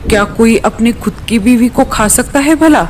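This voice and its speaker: A swaggering male predator bird from a cartoon done by a woman. It talks in rustic turns of phrase with cocky threats, in a fairly high voice. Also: moderate pace, a little mellow, slight background noise, lightly acted. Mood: cocky, challenging.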